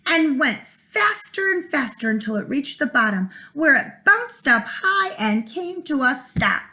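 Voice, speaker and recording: A woman teacher reading a children's story aloud expressively, with dramatic pauses for young listeners. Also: high voice, vocal fry, bright, forceful tone. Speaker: first grade teacher.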